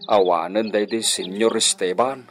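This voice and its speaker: A man's voice: on the low side, steady tone, normal speed, lightly acted. A man playing a musing ranch worker in an Ilocano radio drama monologue, speaking with an Ilocano accent.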